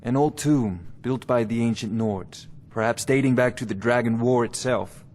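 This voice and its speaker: A man playing a haughty, scholarly court wizard in a fantasy video game, with a condescending, deliberate way of talking. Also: medium pitch, darkish tone, slight background noise, close to an everyday voice. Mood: condescending, businesslike. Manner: pompous, condescending, deliberate phrasing. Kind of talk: video game character dialogue.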